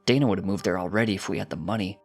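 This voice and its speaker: Man as gruff storybook family man, blunt and dismissive, fairly low, light music underneath. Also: racing. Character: gruff, blunt village husband, goat or minotaur father.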